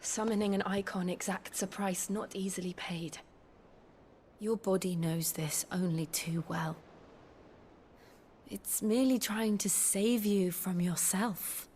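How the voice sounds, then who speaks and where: A woman playing a gentle, steadfast companion in a fantasy game, speaking softly and earnestly. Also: medium pitch, takes it slowly, slight background noise, close to an everyday voice. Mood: tender concern. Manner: soft, earnest, formal phrasing. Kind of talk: dramatic video game cutscene acting. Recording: loud cinematic music behind the voice.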